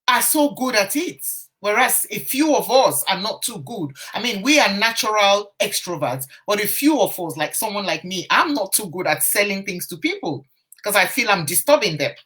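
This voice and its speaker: A woman's voice: medium pitch, sing-song, moderate pace, fairly bright tone. A woman confidence coach telling a story on a casual live stream, chatting to viewers and restarting her phrases as she goes. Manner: chatty, greets viewers by name, frequent restarts. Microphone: phone or webcam on a live stream.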